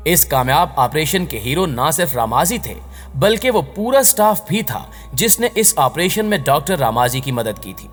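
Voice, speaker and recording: Male narrator, scripted storytelling, lively intonation, raised pitch, dramatic voice-over delivery. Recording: long reverb on the voice. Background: background music.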